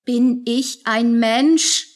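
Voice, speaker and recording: An actress playing a poor, vain, guilt-ridden young mother, drawn-out and wistful, in a radio drama. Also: on the high side, steady tone. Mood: defensive.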